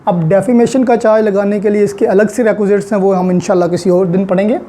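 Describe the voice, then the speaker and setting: A male law lecturer with a Pakistani accent and a very soft-edged, mellow tone. He explains deliberately, stressing and repeating key points. English legal terms are mixed into his teaching. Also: sits high, steady tone, slight background noise.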